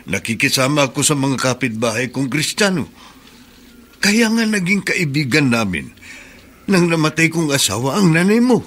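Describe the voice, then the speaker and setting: A man's voice: on the high side, fairly bright tone. An actor playing a humble, pleading middle-aged father, hesitant and earnest, in a Filipino radio drama.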